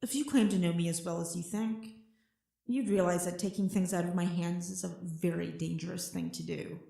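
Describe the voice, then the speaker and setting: Woman as a creaky-voiced, imperious villainess. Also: lowish voice, fairly even intonation, moderate pace, warm tone, echoey room. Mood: cool, grudging. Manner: haughty, clipped, formal, dry. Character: cold, cunning, proud lady villain, imperious and lethal. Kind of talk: scripted audio drama acting.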